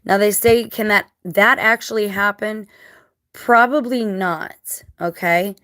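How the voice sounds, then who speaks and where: A woman with a scratchy, dry-mouthed voice after crying, talking casually to viewers in a halting, reflective, earnest way. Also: mid-range voice, fairly even intonation, a bit bright, no echo. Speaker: vlogger.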